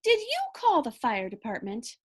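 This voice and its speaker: A woman's voice: fairly high pitch, huge pitch swings, moderate pace, husky, exaggerated voice. A woman voicing a practical, easygoing male firefighter in a storybook, courteous and matter-of-fact. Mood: questioning.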